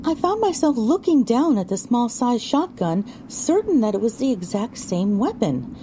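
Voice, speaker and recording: A woman narrates a scripted story in a high, soft-toned, mellow voice. Her reading is lively and varied, with faint noise behind it. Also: normal speed. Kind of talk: scripted audio drama narration, first person.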